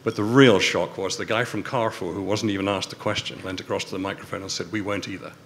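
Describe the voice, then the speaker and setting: Emphatic low-voiced man, faint hiss. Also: steady tone, fast. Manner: forceful, emphatic repetition. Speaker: panelist, restaurateur. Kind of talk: panel debate speech.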